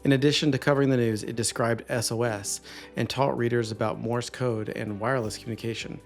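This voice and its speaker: A man's voice: medium pitch, fairly even intonation, a little fast, soft background music. An American man co-hosting a hobby radio channel, delivering scripted narration. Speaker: co-host of a ham radio channel.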